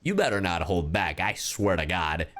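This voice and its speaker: A man livestreaming a game, reacting casually to his chat in an excitable, slangy, foul-mouthed way. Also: low-pitched, moderate pace.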